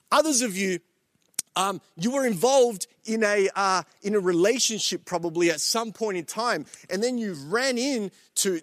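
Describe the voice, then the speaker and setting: A man's voice: sits high, a bit bright, no room sound, clean studio sound. A man born in El Salvador preaching a sermon to his church and home viewers, earnest and repetitive, with many fillers and restarts. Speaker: pastor.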